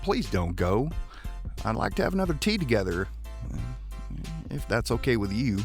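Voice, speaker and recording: A man playing a protective, smitten, folksy romantic lead in an audio drama, earnest and halting, with a gravelly voice. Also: medium pitch, big pitch swings, soft background music. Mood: concerned.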